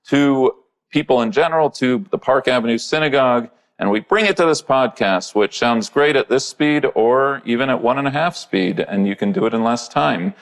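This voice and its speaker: A man, a rabbi, hosting a podcast interview, speaking in a halting way with many pauses, fillers and restarts. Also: medium pitch.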